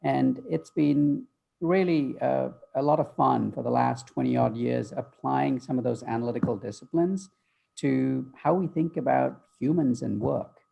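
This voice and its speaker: Gravelly husky middle-aged man, guest. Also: mid-range voice, measured pace, dark tone, muffled recording. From Malaysia, raised in the UK. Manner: thoughtful, fluent with many ums. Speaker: guest, future-of-work author. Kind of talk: remote interview answer. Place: home office. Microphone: video call.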